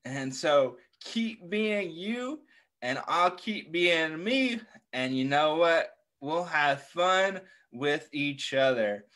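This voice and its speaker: A man's voice: on the high side, animated, slow pace, bright, forceful tone. A man in his thirties talking to young children as a story time host, in an encouraging, simple and repetitive way on a video call. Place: small furnished room at home, indoors. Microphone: computer mic on a video call.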